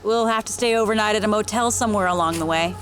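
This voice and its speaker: A woman's voice: medium pitch, quick pace, fairly bright tone, a little hiss or hum, close to an everyday voice. A woman playing a determined, practical traveller in an audio drama, earnest and matter-of-fact. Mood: resolute.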